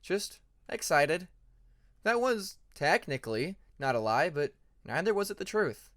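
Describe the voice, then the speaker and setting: Lightly pitched man narrating a story. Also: big pitch swings, normal speed, fairly bright tone, dry recording, studio quality. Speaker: audiobook narrator.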